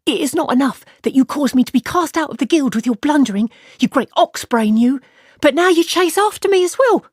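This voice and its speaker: A woman reading a story, voicing a sharp-tongued woman fugitive with an odd foreign way of speaking, scornful and shrill. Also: animated, brisk, dry recording, studio quality.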